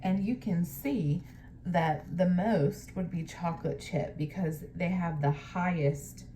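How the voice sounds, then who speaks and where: A woman teacher doing a picture-book read-aloud, pausing to explain things to young pupils. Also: lowish voice, mellow, a little hiss or hum.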